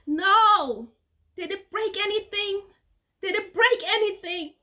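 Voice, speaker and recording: A woman voicing a frightened young woman in a panic, crying out in high, pleading tones. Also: slow pace, sharp tone, heavily acted. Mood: panicked.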